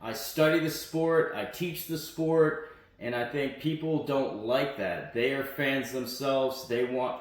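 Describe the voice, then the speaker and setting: A man's voice: medium pitch, recorded from a distance. A man with a mid-range voice gives blunt, defiant wrestling commentary, peppered with "um" and "you know". He sounds some way off the microphone, and the room around him rings with a strong echo. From born in South Korea, raised in the United States. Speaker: wrestling channel host and coach. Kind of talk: opinionated solo commentary to camera. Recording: echoey room, voice off-mic.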